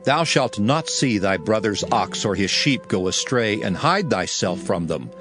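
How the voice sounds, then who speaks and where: A man's voice: fairly low pitch, expressive, unhurried, music in the background. A man narrating scripture in a solemn, declamatory style, as in a dramatized Bible reading.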